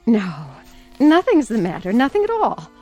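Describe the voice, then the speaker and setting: An actress playing an anxious, doting aunt in a radio drama, sweetly coaxing and nervously repeating herself. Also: female voice, a little high, wildly swooping pitch, normal speed, warm tone, faint music behind. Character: anxious, doting aunt placating a feared nephew.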